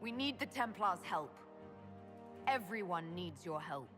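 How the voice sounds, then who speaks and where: A woman's voice: lowish voice, sing-song, a little slow, music in the background. A woman voicing a resolute, diplomatic female hero leader in a video game. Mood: persuasive. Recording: game audio with long reverb.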